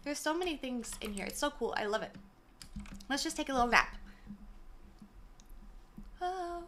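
A young woman in her twenties chatting casually on a gaming live stream, her voice slightly hoarse and worn, talking from a small room into a mic set far from her with the gain turned up. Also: high voice, expressive, moderate pace, pushed and bright, slight background noise. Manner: chatty, apologetic, greets viewers by name. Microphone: desk mic set far from her, gain turned up.

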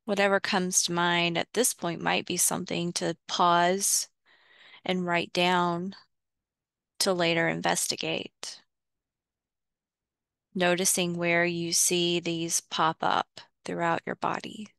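A woman leading a guided visualization, giving measured instructions in short phrases with pauses between them. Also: fairly low pitch, little pitch movement, creaky, fairly bright tone, no echo, clean studio sound. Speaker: guided meditation host.

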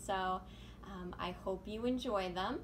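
A woman in her thirties informally hosting a children's storytime, pausing as she addresses young listeners. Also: mid-range voice. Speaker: children's library storytime host. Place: small room indoors. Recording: noisy home recording.

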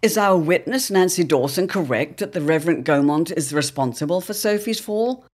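A woman's voice: lowish voice, lively intonation, moderate pace. A woman reading dramatic scripted narration as the narrator of a serial. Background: music.

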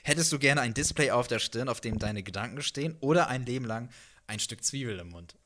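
A man's voice: mid-range voice, animated, brisk, fairly bright tone, a little hiss or hum. A man in his thirties hosting a casual, playful interview in an informal, joking way into a handheld microphone indoors. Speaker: interview host. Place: living-room-like music room indoors.

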